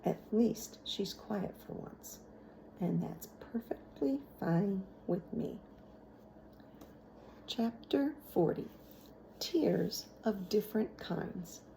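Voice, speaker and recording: A woman's voice: mid-range voice, expressive, takes it slowly, vocal fry, pauses often, warm tone, a little hiss or hum, rough recording. A woman narrating a children's novel aloud in the manner of a teacher reading to a class.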